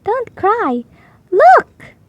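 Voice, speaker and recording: A woman's voice: extremely high pitch, wildly swooping pitch, extremely slow, no room sound, voice pushed up, full character voice. A woman voicing an eager, dreamy, earnest little girl in a children's storybook. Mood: excited.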